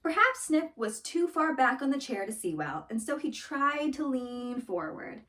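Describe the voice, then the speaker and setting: A woman reading a children's story aloud in an expressive, dramatic storytelling manner. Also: high-pitched, unhurried, recorded from a distance. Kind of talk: scripted storybook read-aloud.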